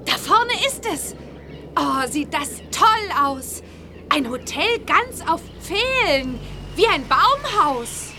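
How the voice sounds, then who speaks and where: A woman's voice: high-pitched, very animated, slow, bright, forceful tone, a little hiss or hum, heavily acted. A woman playing a cheeky, chirpy young witch girl in a children's audio drama. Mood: excited.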